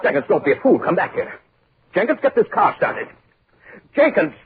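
An actor playing a bullying, bad-tempered middle-aged publisher, barking imperiously in 1940s radio style. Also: male voice, high voice, very animated, moderate pace. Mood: fuming. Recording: scratchy, noisy narrowband 1940s radio recording.

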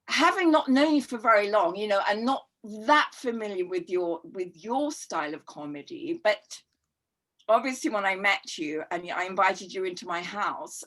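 Middle-aged female podcast guest; warm, reflective and rambling, with a casual conversational delivery. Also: medium pitch.

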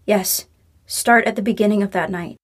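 A woman's voice: mid-range voice, fairly even intonation, normal speed, husky, close to an everyday voice. A woman playing a young, grieving daughter, wounded and earnest, in a naturalistic audio drama. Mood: insistent, pleading. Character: grieving daughter, wounded, angry yet willing to reconcile. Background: music.

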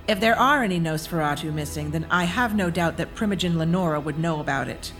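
An actress playing a haughty, disdainful aristocratic vampire in an audio drama, with formal, theatrical, snobbish speech. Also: female voice, sits low, brisk, a bit bright. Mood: grave, haughty. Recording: music under the voice. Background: music.

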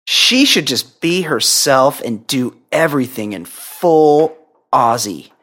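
A woman's voice: a bass voice, animated, a bit bright. A woman co-hosting a podcast who reads out listener emails and teases in a wry, joking way. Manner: wry, teasing, quick jokes. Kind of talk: casual chat.

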